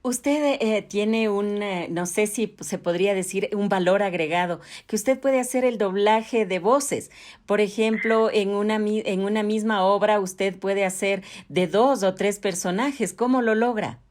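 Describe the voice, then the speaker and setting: A woman's voice: mid-range voice, unhurried, a little mellow. Female interviewer. Middle register, conversational questioning, courteous formal address.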